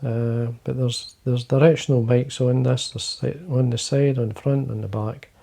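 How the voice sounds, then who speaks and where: A man with a Scottish accent, talking quietly and casually to camera from his fly-tying desk, rambling with fillers and restarts. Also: mid-range voice, little pitch movement, normal speed, gravelly. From Scotland. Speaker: fly-fishing video host.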